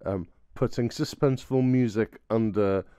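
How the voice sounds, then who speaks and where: A man presents a podcast informally with unhurried dry humour. His voice is low, mellow and smooth. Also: no echo. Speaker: podcast host.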